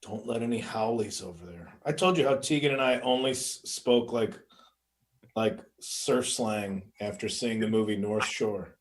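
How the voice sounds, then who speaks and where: A man's voice: on the low side, normal speed. A man co-hosting a comedy podcast, riffing casually with sarcasm and playing out absurd bits.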